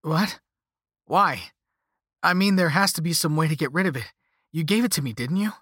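A man's voice: medium pitch, lively intonation, unhurried, a bit bright, slightly rough, dry recording, clean studio sound. A man acting a desperate, angry cursed protagonist in a story, speaking in a heated, emphatic, strained way. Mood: incredulous.

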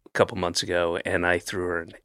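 A man's voice: low-pitched, little pitch movement, quick pace, fairly bright tone, smooth, no room sound. Dry, rambling man in his fifties. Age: 50s. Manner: rambling, self-deprecating, trails off and restarts. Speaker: podcast host. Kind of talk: casual chat.

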